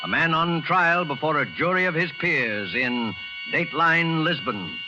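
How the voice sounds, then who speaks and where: High-pitched male radio announcer. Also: animated, unhurried. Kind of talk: formal 1940s radio announcing. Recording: muffled old radio broadcast with music.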